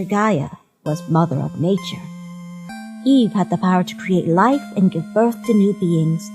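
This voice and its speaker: A woman's voice: mid-range voice, close to an everyday voice. A woman voicing a caring, motherly female monster in a comic dub, speaking politely and formally like a soothing storyteller. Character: gentle, caring, courteous motherly female monster behind a door. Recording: music behind the voice.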